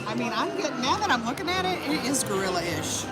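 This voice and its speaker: Loud, animated woman laughing through chat. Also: high-pitched, fast. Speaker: co-host guest. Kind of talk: casual chat over a meal. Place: indoor theme-park restaurant. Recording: loud crowd and music behind. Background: loud crowd and music.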